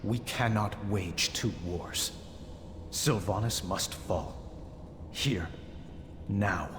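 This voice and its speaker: Game cutscene role: noble, resolute human king, slightly deep, firm delivery, noticeable background noise. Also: extremely slow. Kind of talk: video game cutscene acting. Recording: clearly noisy ambience behind the voice.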